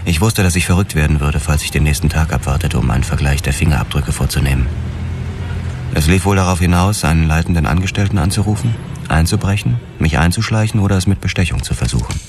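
An actor playing a haunted, hard-boiled police detective, narrating a noir radio drama in measured, clipped phrases. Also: male voice, a bass voice, background noise. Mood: tense, methodical. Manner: measured, clipped, grim storytelling. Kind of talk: scripted first-person noir crime narration, radio drama.

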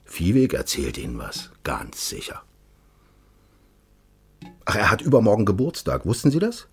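An actor playing a secretive former secret police official in a radio crime drama, formal and measured, persuasive with quiet menace. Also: male voice, lowish voice, wildly swooping pitch, exaggerated voice. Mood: persuasive, menacing. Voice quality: husky.

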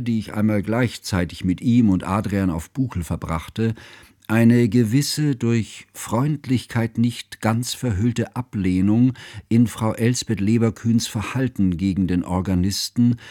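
A devoted, scholarly man recalls his friend in a literary radio play. His voice sits a little low and is recorded very dry. He narrates with formal, measured reflection. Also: darkish tone, no room sound.

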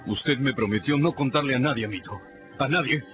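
A man's voice: mid-range voice, brisk, lightly acted. A man playing a timid, superstitious runaway slave in a radio drama, humble and deferential, with a stammering, pleading manner. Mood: anxious, confiding. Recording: muffled radio production with music behind.

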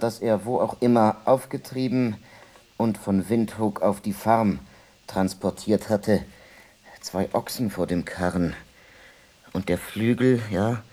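An actor playing a reflective man who recalls his childhood in a halting, musing way in a radio play. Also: on the low side, dark tone. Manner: halting, musing, self-correcting. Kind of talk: radio play monologue, reminiscing.

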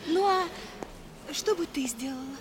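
An actress playing an excitable, curious village girl with an eager manner in a 1960s radio drama. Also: high-pitched, measured pace. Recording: clear background ambience noise.

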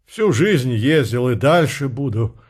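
A man's voice: medium pitch, animated, unhurried, slightly rough. An actor playing a weary male courier in a radio play, speaking plainly and resignedly.

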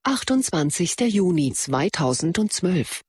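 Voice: medium pitch, big pitch swings, unhurried, husky, no room sound, full character voice. A performer playing an impersonal social media announcer in a radio drama, stating figures and dates in a clipped, matter-of-fact way.

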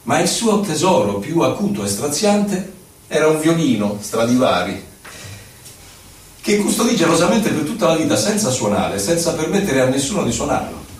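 A wistful poet played by a man recounts memories in a theatrical, measured, literary delivery. He sounds far from the microphone in a very reverberant space. A faint noise sits in the recording. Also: a little high, very animated. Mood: wistful storytelling. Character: male poet recalling his past, wistful, lyrical storyteller. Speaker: stage actor. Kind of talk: theatrical poetic monologue. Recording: very echoey, distant from mic, faint noise.

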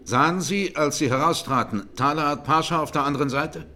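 A presiding judge in a radio courtroom drama. His voice sits slightly high for a man. He questions the accused formally, with precise, probing insistence. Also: brisk, bright, forceful tone, a little hiss or hum.

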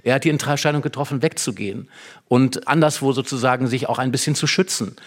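A man's voice: medium pitch, fast. A man talking in a conversational, explanatory way, analytical and emphatic, often posing rhetorical questions. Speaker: literary discussion guest explaining a play.

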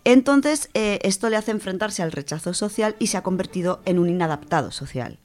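A woman from co-hosting a radio show, chatting casually and reading a story, animated, with many fillers. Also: medium pitch, fairly quick. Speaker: radio co-host presenting a literature section.

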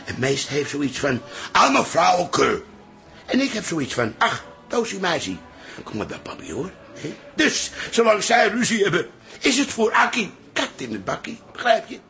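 A man's voice: high voice, big pitch swings, normal speed, creaky, pushed and bright, soft background music, heavily acted. An actor playing a sly, jovial elderly father who talks in folksy sayings in a radio comedy. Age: older. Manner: folksy, fond of rhymes and sayings.

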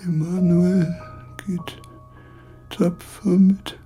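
An actor playing a very old, failing philosopher in a radio drama, with a weak husky voice and halting, broken phrases that repeat words. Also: male voice, high-pitched, level tone, warm tone, faint music behind. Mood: feeble, brave. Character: frail, very old male philosopher, failing and rambling.

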